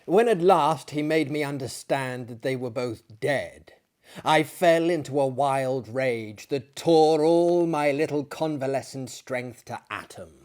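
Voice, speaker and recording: A man's voice: medium pitch, measured pace, a little mellow, lightly acted. Man reading as mournful, dramatic young storyteller. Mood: anguished. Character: grieving young man recalling tragedy, literary narrator.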